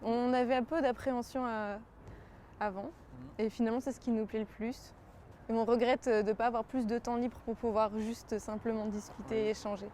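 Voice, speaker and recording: A young woman student speaks casually in a high, mellow voice. Urban noise is audible behind her. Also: fairly even intonation, moderate pace. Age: young adult. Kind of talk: casual interview talk.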